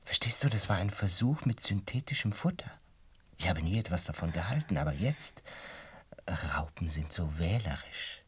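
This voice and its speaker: An actor playing a gentle, melancholic butterfly enthusiast in a radio drama, measured, reflective and hesitant, with a husky voice. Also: male voice, sits low, animated, a little hiss or hum. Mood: soft, intimate. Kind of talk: radio drama acting and first-person narration.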